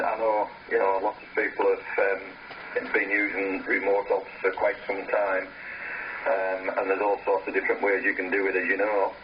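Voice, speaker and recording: A man chatting casually and hesitantly as an amateur radio operator on a contact, heard over a radio. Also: lowish voice. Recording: noisy, muffled, distant radio transceiver audio. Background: radio static noise.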